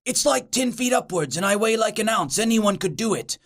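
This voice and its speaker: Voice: mid-range voice, expressive, normal speed. A performer voicing a tiny, bossy young boy in a story, urgent and exasperated. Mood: incredulous.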